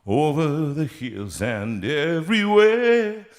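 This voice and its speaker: A man's voice: a little high, animated, takes it slowly. A man, a singer, chatting casually on a live stream from a music room, rambling with many fillers and restarts.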